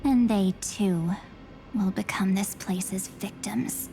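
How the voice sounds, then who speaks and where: A woman voicing a calm, mysterious female double who lives inside another girl's memories, speaking slowly in a hushed, measured way. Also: medium pitch, slight background noise, rough recording. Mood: somber. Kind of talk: video game cutscene voice acting.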